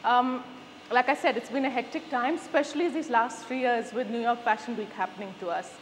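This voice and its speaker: A woman's voice: on the high side, fairly quick, long reverb tail, a little hiss or hum, poor audio. A woman talking about her husband's work in a casual, enthusiastic interview. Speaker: interviewee, designer's wife.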